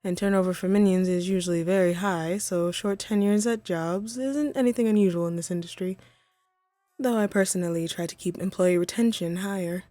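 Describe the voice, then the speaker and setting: A woman's voice: fairly low pitch, brisk, mellow, no echo, faint music behind, natural voice. A woman voicing a haughty, wry female supervillain in a scripted audio roleplay, poised and theatrical but conversational. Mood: encouraging, sly.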